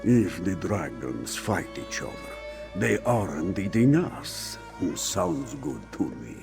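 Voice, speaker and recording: A man playing a skeptical, flippant cat-folk villager in a video game, with a low, gravelly voice. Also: sing-song, slow pace, music in the background, heavily acted. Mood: dry, doubtful.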